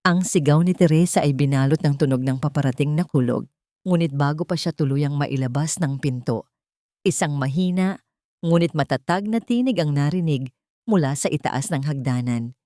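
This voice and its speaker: A woman's voice: sits low, normal speed, warm tone, no echo, studio quality. A woman storyteller giving a scripted, dramatic audiobook narration.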